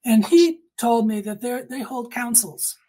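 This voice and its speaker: Earnest middle-aged woman, slightly husky. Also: a little high, a little slow, dark tone. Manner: earnest, emphatic storytelling with acted-out quotes. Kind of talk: personal testimony in conversation.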